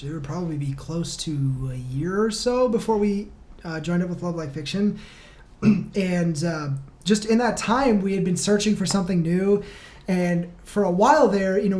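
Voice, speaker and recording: A man's voice: high voice, darkish tone. Casual chatty man telling stories. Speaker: band vocalist, interview guest. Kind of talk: casual chat, storytelling.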